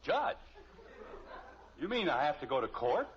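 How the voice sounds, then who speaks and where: A man's voice: medium pitch, big pitch swings, measured pace. A man playing an exasperated, easily flustered middle-aged suburban father in 1950s radio comedy style, sputtering and sarcastic. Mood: frantic. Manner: sputtering, sarcastic, long-suffering. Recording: muffled old radio recording, faint hiss.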